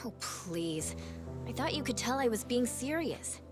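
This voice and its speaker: Frank young woman, slightly high voice, slow pace, wry casual game dialogue. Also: big pitch swings, music in the background. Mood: hesitant, serious. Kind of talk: naturalistic video game voice acting.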